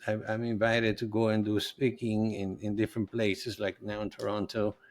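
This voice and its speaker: Earnest lower-voiced middle-aged man, Spanish native. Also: flat delivery, normal speed. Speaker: podcast guest, nutritionist and health coach. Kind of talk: conversational interview.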